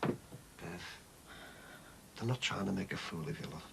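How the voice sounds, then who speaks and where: A man's voice: sits low, moderate pace, gravelly, background noise. A middle-aged man, playing a blunt, coaxing father in a TV soap. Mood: pleading. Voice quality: blunt, coaxing, calls people love. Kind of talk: 1990s TV soap acting.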